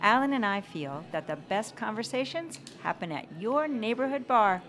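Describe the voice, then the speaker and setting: A woman's voice: medium pitch, sing-song, moderate pace. An American woman you'd hear chatting with guests as co-host of a podcast taped at a neighborhood bar. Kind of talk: casual conversational interview.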